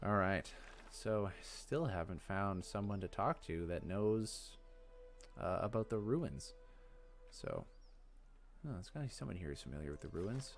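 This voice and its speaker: A man's voice: deep voice, animated, measured pace, faint music behind. A man hosting a let's play, chatting casually and thinking aloud as he goes. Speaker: gaming video host.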